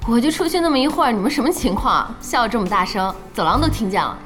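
Forthright young woman, animated delivery, insistent, radio drama, faint music behind. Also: on the high side, fast. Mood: scolding. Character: spirited, forthright young woman at a gaming hangout. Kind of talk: Chinese radio drama acting.

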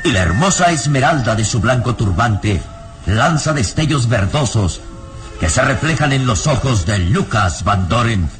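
A man narrating a scripted radio serial in a solemn, deliberate and dramatic manner. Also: mid-range voice, expressive, lightly acted. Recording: dramatic music behind the narration.

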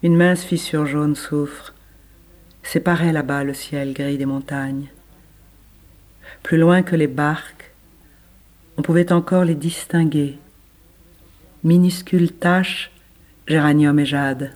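A man reading literary prose as scripted narration, with measured, deliberate phrasing. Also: a little high, steady tone, a little mellow. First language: French. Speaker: reader of literary text.

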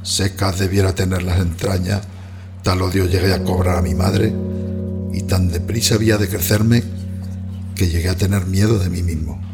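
Deep-voiced, grim Spanish peasant narrator. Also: male voice, steady tone, normal speed. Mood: grave, bitter. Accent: Spanish, from Spain. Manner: solemn, confessional. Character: grim, bitter Spanish peasant recounting his life. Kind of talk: first-person radio drama narration. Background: music.

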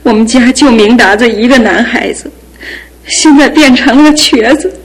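A woman's voice: normal speed, rough recording. An actress playing a grieving older mother, tired and sighing, in a radio drama with music behind. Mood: worried. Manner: tired, sighing and low-voiced.

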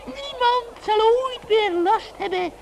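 Very shrill, creaky old witch. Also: a little hiss or hum, heavily acted. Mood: haughty, triumphant. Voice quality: ugly shrill cackling voice. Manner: giggling, drawn-out, theatrical gloating. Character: gleeful, gloating, malicious old forest witch. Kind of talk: children's radio play acting.

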